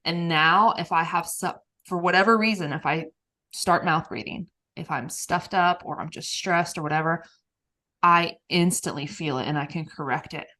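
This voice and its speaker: Animated podcast host woman, lowish voice. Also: moderate pace. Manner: emphatic and animated, laughs often. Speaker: podcast host, nervous system coach. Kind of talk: casual podcast conversation.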